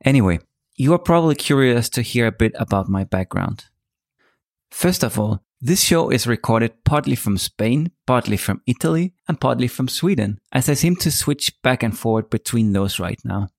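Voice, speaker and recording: A man in his forties with a Danish accent, hosting his own podcast and telling his life story in a calm, measured monologue. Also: medium pitch, creaky. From Denmark. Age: 40s. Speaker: podcast host. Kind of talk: scripted solo podcast monologue.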